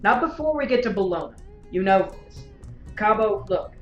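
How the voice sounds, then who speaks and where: An actress in an audio drama playing a firm comrade who placates a wounded soldier. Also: female voice, medium pitch, animated, normal speed, highs cut off, close to an everyday voice. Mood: firm, placating. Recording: echoey room, faint music.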